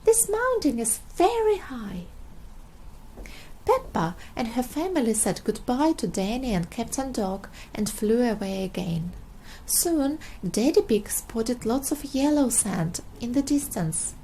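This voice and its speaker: A woman reading a children's storybook aloud in an expressive style. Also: fairly high pitch, a little slow, a little hiss or hum, poor audio. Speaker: storybook reader.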